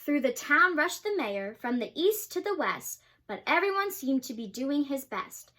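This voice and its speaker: A young woman with a high voice reads a rhyming children's book aloud. She gives each character its own voice, and her tone is bright and lively. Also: normal speed. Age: young adult. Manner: expressive storybook reading, voices for characters. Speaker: student reader.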